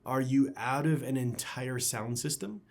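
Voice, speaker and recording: A man's voice: medium pitch, a little slow. A man hosting a product review channel, talking in a conversational, opinionated way with emphatic phrasing. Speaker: audio gear reviewer and channel host.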